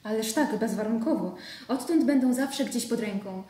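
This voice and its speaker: A woman's voice: on the high side, normal speed, warm tone, natural voice. A woman playing an ironic, outspoken wife in a radio drama, speaking with mocking sarcasm. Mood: sarcastic. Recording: distant mic in a roomy space.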